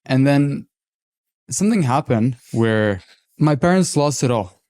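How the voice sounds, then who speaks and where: A man in his twenties from Lebanon, a podcast guest telling his story in a relaxed, candid, reflective way. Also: medium pitch, a little slow. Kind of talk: casual interview talk.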